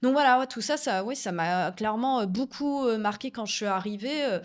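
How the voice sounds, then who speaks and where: A woman's voice: on the high side, animated, a little fast. A middle-aged woman from the Paris region, bilingual in French and Portuguese, chatting casually with many fillers and restarts. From France, Paris region. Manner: hesitant, many fillers and restarts, laughs easily. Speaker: co-host sharing expat experience. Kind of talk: casual conversation.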